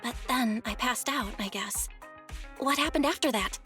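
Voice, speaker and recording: An actress playing an earnest, worried and dazed young heroine in a video game. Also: female voice, a little high, moderate pace, fairly bright tone, soft background music, natural voice.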